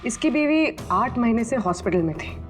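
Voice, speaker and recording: A firm female investigator played by an actress in a TV crime drama, with a fairly high voice over clear background music. She is direct and businesslike. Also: animated, normal speed, natural voice.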